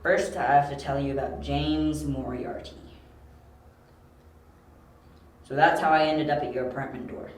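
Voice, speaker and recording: A man's voice: on the high side, steady tone, fairly quick, a little hiss or hum, natural voice. A man playing a young, confident detective in an amateur audio drama, explaining things precisely. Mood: methodical. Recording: roomy amateur home recording.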